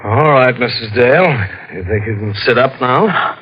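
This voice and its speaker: An actor playing a skeptical, matter-of-fact police detective in 1940s radio acting. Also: male voice, mid-range voice, lively intonation, normal speed, loud music behind the voice, close to an everyday voice. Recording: muffled vintage radio recording.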